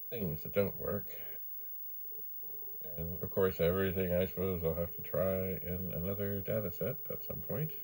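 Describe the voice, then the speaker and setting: Slow, low-voiced man thinking aloud. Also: warm tone. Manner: unhurried, thinking aloud in short broken phrases. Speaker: host of a retro computer channel. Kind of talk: casual hands-on demo narration to camera. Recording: faint background noise.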